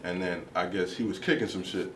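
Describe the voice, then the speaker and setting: A young man from Brooklyn with a very deep voice, chatting casually as an interview guest. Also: brisk, a bit bright, a little hiss or hum. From Brooklyn, New York. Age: young adult. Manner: laid-back slang, many "you know what I mean". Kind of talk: casual chat. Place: indoor studio with backdrop. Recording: distant from the mic.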